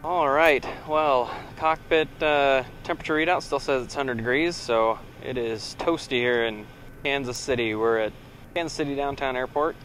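A young male pilot speaks through an aviation headset, relaxed and explanatory as he works the cockpit. His medium voice sits over steady engine noise. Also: mid-range voice, normal speed, low-quality recording. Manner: relaxed, talks viewers through each step. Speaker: pilot vlogger. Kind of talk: casual cockpit narration and radio calls. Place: small aircraft cockpit.

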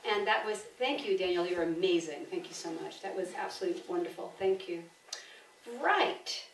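A woman's voice: lowish voice, moderate pace, a little hiss or hum. Enthusiastic older woman hosting, echoey hall. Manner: rambling, enthusiastic, animated gestures. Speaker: event host and publisher. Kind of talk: informal stage introduction. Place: on a theatre stage. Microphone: gooseneck lectern microphone. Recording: roomy theatre, distant from mic.

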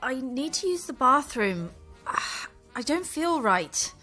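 A prim, indignant woman out of her depth in an audio horror comedy. Her fairly high voice rises and falls sharply in fluster. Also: very animated, takes it slowly, sharp tone, soft background music, full character voice. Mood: distressed, flustered. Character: flustered, indignant English woman, romance novelist, reluctant guest. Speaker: actor in an audio drama.

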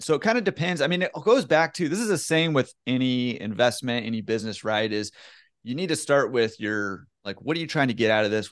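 A man in his thirties, a guest on a video call, answering in a relaxed, conversational way full of "you know" fillers. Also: mid-range voice, no echo, studio quality. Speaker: laundromat owner, guest. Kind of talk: casual interview answer. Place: home room with bookshelf. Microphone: headset with desk mic on video call.

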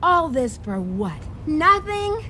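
A woman's voice: high voice, big pitch swings, slow, sharp tone, slight background noise, heavily acted. A woman playing a sassy, flirtatious treasure hunter in a video game cutscene, with a sly, teasing and haughty manner. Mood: irritated, haughty.

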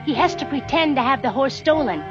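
A woman's voice: sits high, expressive, fairly quick. A young actress playing a principled, anxious frontier woman in an old TV western, speaking earnestly and insistently. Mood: urgent pleading. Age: young adult. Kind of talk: 1960s TV western acting. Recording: dull old TV soundtrack, loud music.

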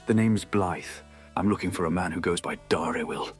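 A man voicing a gruff half-wolf knight in a comic dub, earnest and dim-witted, with solemn, old-fashioned phrasing. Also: low voice, normal speed, soft background music, natural voice. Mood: stern, businesslike. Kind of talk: dramatic comic dub acting.

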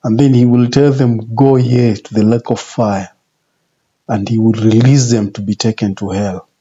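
A man in his forties giving an informal sermon in a deliberate, repetitive, emphatic way. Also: medium pitch, steady tone, warm tone, husky. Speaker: religious video presenter. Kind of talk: informal sermon, retelling scripture. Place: small indoor office. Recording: muffled, dull home video audio.

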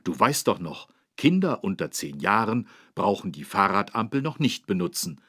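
A man playing a patient, friendly instructor, narrating for children in an encouraging, didactic way with a husky voice. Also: fairly low pitch, very animated, moderate pace, a bit bright, no echo, clean studio sound, heavily acted. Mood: instructive. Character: friendly, patient adult man teaching children road safety. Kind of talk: scripted children's educational narration.

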